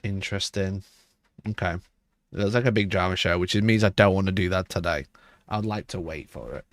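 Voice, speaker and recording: A man with a rough, hoarse voice, chatting casually on a livestream and rambling with frequent restarts. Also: deep voice, a little fast, dry recording. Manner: rambling, restarts mid-sentence. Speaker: livestream host.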